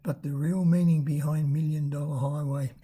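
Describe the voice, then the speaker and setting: An older travel vlogger with a raspy voice, narrating a road trip in a chatty, unhurried way, pausing now and then to search for words. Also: medium pitch, steady tone, mellow. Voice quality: raspy from damaged vocal cords.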